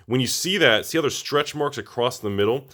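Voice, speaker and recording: An American man with a slightly raspy voice, a little under the weather, talking casually and ramblingly to viewers as he reviews products. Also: medium pitch, animated, brisk, a bit bright. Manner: informal, rambling, addresses viewers directly. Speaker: collectibles channel host.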